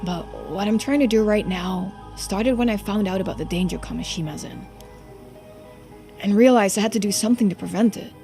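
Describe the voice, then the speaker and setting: A woman voicing an earnest, subdued young diver in a video game, speaking seriously and with quiet determination. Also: medium pitch, fairly quick, creaky, faint music behind, lightly acted. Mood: earnest, confiding.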